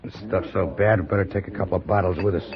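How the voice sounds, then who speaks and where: A sly, hard-drinking Old West outlaw acted by a man with a deep voice and folksy, drawling rustic speech. It is radio western acting, heard on a muffled vintage recording with crowd noise behind it. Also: flat delivery, very fast, lightly acted. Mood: sly, needling.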